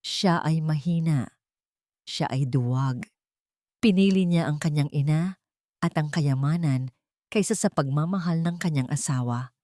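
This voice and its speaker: A woman narrating a dramatic story in a steady, scripted storytelling manner. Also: deep voice, a little slow, pauses often, no room sound, studio quality.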